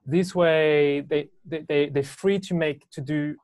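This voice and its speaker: A man in his thirties with a French accent, explaining things casually and haltingly as an interview guest on a video call. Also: a little high, fairly even intonation, a little mellow, dry recording. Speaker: guest, business owner and educator. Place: small indoor room, video call.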